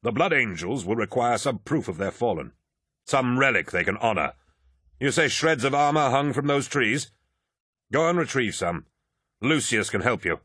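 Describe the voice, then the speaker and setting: A man's voice: mid-range voice, lively intonation, moderate pace, fairly bright tone, husky, dry recording, studio quality, pitched above the performer's own voice. A man voicing an imperious, scornful military lord commander in a haughty, clipped and commanding manner.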